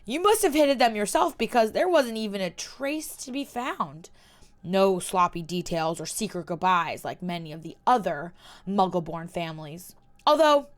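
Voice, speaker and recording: A woman voicing a cold, taunting young male wizard in a story reading, speaking with quiet, measured menace. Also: mid-range voice, close to an everyday voice. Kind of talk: reading a fan story aloud.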